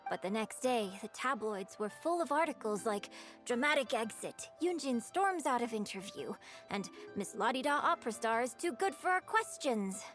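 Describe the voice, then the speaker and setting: An actress voicing a poised young opera star in a game, gracious but weary, with measured, refined delivery. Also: female voice, fairly high pitch, animated, a bit bright, faint music behind. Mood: weary, resolute. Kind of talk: voice-acted game cutscene dialogue.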